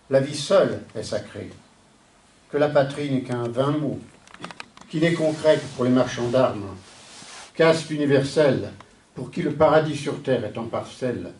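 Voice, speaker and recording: A man recites poetry in a solemn, declamatory way, in measured phrases with deliberate pauses. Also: medium pitch, a little mellow, slight background noise. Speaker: poet reciting a poem. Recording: echoey, distant from the mic.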